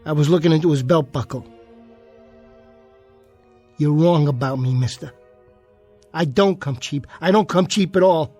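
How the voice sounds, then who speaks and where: Casting: male actor as undersized American jockey, high voice, slangy, defiant and boastful, radio drama with music behind. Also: close to an everyday voice. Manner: streetwise slangy tough talk, defiant. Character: bitter, boastful small man, a disgraced jockey.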